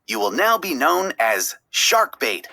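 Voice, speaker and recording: A woman's voice: lowish voice, big pitch swings, takes it slowly, vocal fry, pushed and bright, pitched above the performer's own voice, exaggerated voice. A woman voicing a solemn, protective male fish who leads a gang, in a storybook. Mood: ceremonious.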